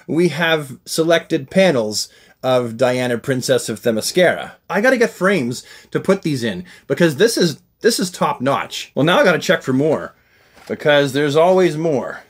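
A middle-aged man hosting a casual, enthusiastic unboxing vlog, talking in an animated, exclaiming way. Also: a little high, normal speed. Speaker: unboxing review host. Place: small indoor room lined with shelves of collectibles.

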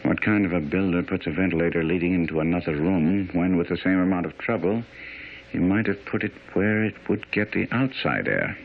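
An actor playing a shrewd, courteous English detective in a radio drama, musing aloud with brisk authority. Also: male voice, deep voice, vocal fry. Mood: musing, deducing. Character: shrewd, methodical English detective questioning and deducing. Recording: tinny, phone-like radio drama, noisy.

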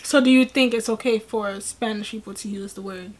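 A woman's voice: medium pitch, a little fast. A young woman co-hosting a reaction video at home, chatting casually and pressing her partner with questions. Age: young adult. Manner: animated, opinionated, questioning. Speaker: reaction channel co-host. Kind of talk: casual chat. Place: home room with bookshelf.

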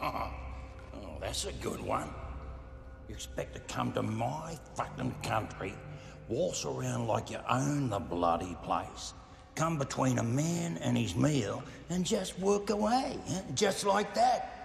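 A sadistic Australian killer with a deeply gravelly, slow drawl that rises and falls as he mocks his prey. The film scene sounds roomy, with a long hall echo and faint music underneath. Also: big pitch swings, heavily acted. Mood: taunting. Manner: taunting, slow, drawling menace with cruel laughs. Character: menacing middle-aged male Outback killer, sadistic and mocking. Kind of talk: film drama acting. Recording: echoey hall with film score.

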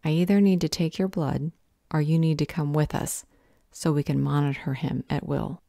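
Woman acting as a stern determined lady leader, low voice, dry close sound, audio drama. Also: steady tone, moderate pace, creaky, mellow, no echo. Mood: resolute. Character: firm, determined woman leading a fight against a villain.

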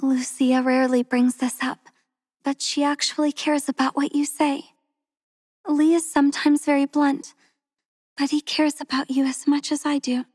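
A woman voicing a gentle, devoted young healer in a game, speaking slowly and tenderly in a soft, breathy voice. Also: sits high, little pitch movement, no echo, natural voice. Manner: slow and tender. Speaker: voice actress for a game character. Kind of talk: game character voice lines.

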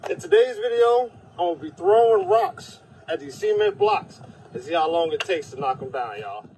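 A man's voice: sits high, expressive, normal speed, darkish tone, breathy edge, poor audio. A man casually presenting his own outdoor video to viewers. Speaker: online sling video presenter.

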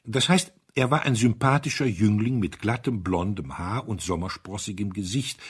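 A male narrator reads literary prose in a measured storytelling manner. His voice is fairly low and strongly husky, with wide, expressive swings of pitch. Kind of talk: scripted literary audiobook narration.

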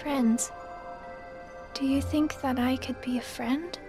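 A woman playing a shy, gentle teenage girl in a game cutscene, sweet, soft and tentative. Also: high voice, fairly even intonation, takes it slowly, music in the background. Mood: shy, hopeful. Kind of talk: video game cutscene acting.

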